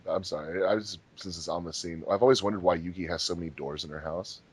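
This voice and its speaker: A man's voice: deep voice, breathy edge. A man joking and chatting animatedly on a fan commentary track. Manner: animated, joking, quick asides. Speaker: fandub member. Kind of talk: casual commentary chat.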